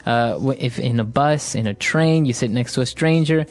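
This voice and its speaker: A man's voice: mid-range voice, moderate pace, darkish tone. Ecuadorian man co-hosting a language lesson. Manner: agreeable, explanatory, frequent "that's right". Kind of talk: casual teaching chat.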